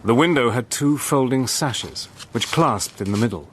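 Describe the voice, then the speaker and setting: Man playing a brave British major, narrating, lively pitch, radio drama. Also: moderate pace, a little hiss or hum, close to an everyday voice. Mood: steady. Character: British army major, brave and level-headed. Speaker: narrator and hero. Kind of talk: radio play narration and acting.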